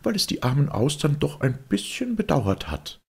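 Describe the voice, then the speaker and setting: A man plays an earnest little girl from a storybook, quick to take offence. The voice is lifted high and moves animatedly. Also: very animated, gravelly, a little mellow, husky, full character voice. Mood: thoughtful.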